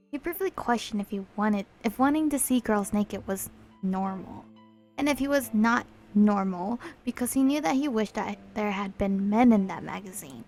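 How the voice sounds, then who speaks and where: A young woman hosting a let's play, reading the story text aloud with expression. She breaks off for chatty, apologetic asides to her viewers. Her voice sits at a middling pitch. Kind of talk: reading a visual novel aloud with commentary.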